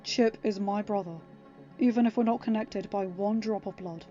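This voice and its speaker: A woman voicing an earnest, protective young king, speaking gently but emphatically to reassure someone in a story. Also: mid-range voice, fairly even intonation, normal speed, muffled recording, soft background music, close to an everyday voice. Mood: earnest, persuasive.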